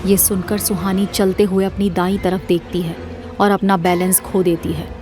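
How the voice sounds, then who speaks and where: A woman with a slightly low voice narrates a horror audio series. Her delivery is measured and dramatic, scripted storytelling with music clearly playing underneath. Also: little pitch movement.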